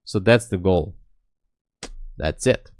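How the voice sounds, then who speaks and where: A man's voice: low voice, takes it slowly, darkish tone, no echo, studio quality. A man in his late thirties, a day trader chatting casually on his live stream and answering viewer comments with a candid, self-deprecating manner. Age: 30s. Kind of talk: casual livestream chat.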